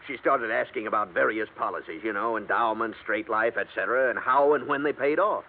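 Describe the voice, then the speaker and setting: A man's voice: lowish voice, a little fast, narrow, tinny audio. A man with an American accent playing a wisecracking, gossipy insurance man in an old radio drama, telling things in colorful slang. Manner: jokey, colorful, slangy storytelling. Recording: thin, band-limited vintage radio recording.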